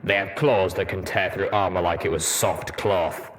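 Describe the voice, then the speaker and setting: A man voicing a gruff, grave armored warhorse veteran in an audiobook, blunt and deliberate, with a deep rumbling voice. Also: fairly even intonation, fairly bright tone. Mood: grim, matter-of-fact. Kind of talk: audiobook character dialogue.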